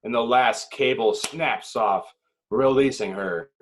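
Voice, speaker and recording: A man's voice: medium pitch, slow pace, a little hiss or hum. A man reading screenplay stage directions as the dramatic narrator of a table read.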